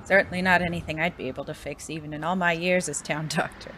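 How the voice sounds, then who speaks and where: An actor playing an old town doctor in a Western audio drama, speaking with measured, formal understatement. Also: mid-range voice, fairly even intonation, pushed and bright, a little hiss or hum, close to an everyday voice, poor audio. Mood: uneasy, dry.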